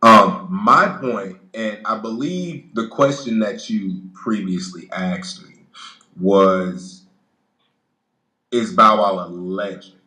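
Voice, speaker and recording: A man's voice: lowish voice, slow, sharp tone, reverberant, poor audio. A man in his late twenties, a guest in a casual chat, blunt and profane, stumbling through restarts. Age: 20s.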